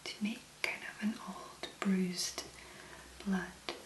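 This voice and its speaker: A woman speaking softly and breathily in an ASMR make-up tutorial, gently musing in a hesitant, unhurried way. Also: mid-range voice, little pitch movement, reverberant. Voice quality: soft, breathy, mostly whispered. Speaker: ASMR content creator, make-up demonstrator.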